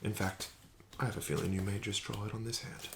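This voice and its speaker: A man playing a casino card dealer in an ASMR roleplay, speaking softly with whispered phrases and formal, courteous, gently coaxing patter. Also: fairly low pitch, steady tone, a little fast, creaky, heavy background noise.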